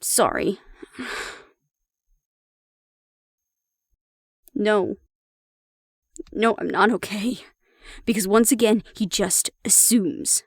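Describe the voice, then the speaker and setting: Woman acting as a stubborn loyal daughter in an audio drama, young, sarcastic, emotional, lots of vocal fry. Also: mid-range voice, no room sound, clean studio sound. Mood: upset, tearful. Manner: argumentative, sarcastic, emotional, casually sweary. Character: defiant, loyal grown daughter, a young werewolf's mate.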